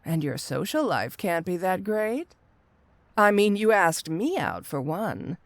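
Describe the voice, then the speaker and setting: A woman's voice: lowish voice, very animated, no echo, heavily acted. A woman playing a flirty, teasing supervillain cat burglar in an ASMR roleplay audio drama, with a coy, seductive delivery. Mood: teasing, probing. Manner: coy, teasing, drawn-out delivery with rhetorical tag questions.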